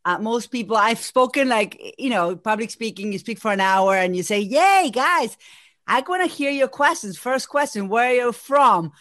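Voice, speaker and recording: A woman in her forties from Argentina, a native Spanish speaker with an Argentine Spanish accent, talking as a podcast guest and executive coach in an energetic, think-aloud way. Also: a little high, normal speed, no room sound. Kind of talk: casual podcast conversation.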